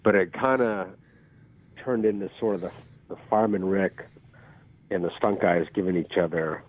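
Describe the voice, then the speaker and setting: Raspy low-voiced man on a phone line. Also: steady tone, moderate pace. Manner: rambling, self-deprecating, with filler ums. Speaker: actor guest. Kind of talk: casual interview storytelling. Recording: phone-like call audio.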